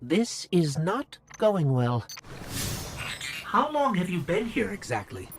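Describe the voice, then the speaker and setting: A woman voicing a small robot companion in a video game, anxious and wry, with an expressive, skeptical manner. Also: lowish voice, slow, noisy background, full character voice. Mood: wry, uneasy.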